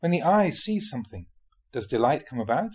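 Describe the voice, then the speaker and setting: Man with expressive, slightly husky reading voice. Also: medium pitch, a little fast. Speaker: monk reading dharma talks aloud. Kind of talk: reading a spiritual talk aloud.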